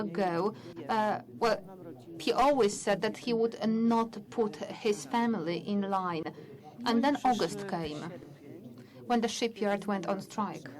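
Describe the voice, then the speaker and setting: Older woman, conference speaker, hesitant testimony with many restarts, hall audience audible. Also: mid-range voice. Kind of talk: personal testimony speech.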